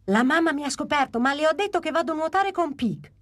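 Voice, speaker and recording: A woman's voice: sits high, lively intonation, fast, a bit bright. A woman voicing a young, eager and adventurous boy dinosaur in a dubbed cartoon. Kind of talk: Italian cartoon dub.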